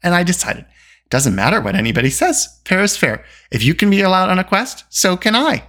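A storybook reader voicing a spirited young princess who is brisk, indignant and talkative. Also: medium pitch, big pitch swings, fairly bright tone, pitched above the performer's own voice. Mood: defiant, chattering.

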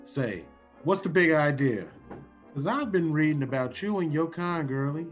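A man's voice: on the high side, animated, normal speed, natural voice. A man acts out a slangy, streetwise tough guy from an old radio drama. The recording is a bit dull, with music playing underneath. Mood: menacing. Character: tough, suspicious streetwise man with a soft streak.

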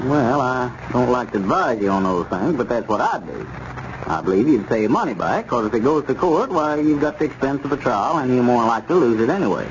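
An actor playing a sensible, plain-spoken small-town adviser in a 1930s radio serial. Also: male voice, mid-range voice, big pitch swings, fairly quick. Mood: advising. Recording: muffled, noisy 1930s radio recording.

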